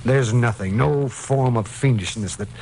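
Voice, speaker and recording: An actor playing a suffering, desperate man in a hospital bed, grave, halting and intense, in old radio drama style. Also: mid-range voice, a little mellow, lightly acted. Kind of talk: 1950s radio drama acting. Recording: noisy old radio recording.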